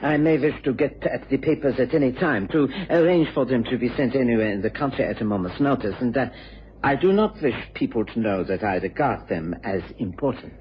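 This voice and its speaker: On a dull, faintly noisy vintage radio recording, a man acts a secretive gentleman scientist. He speaks in a medium-pitched voice, formal and hesitant, with an anxious politeness. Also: lively intonation, a little fast, close to an everyday voice. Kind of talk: old-time radio drama acting.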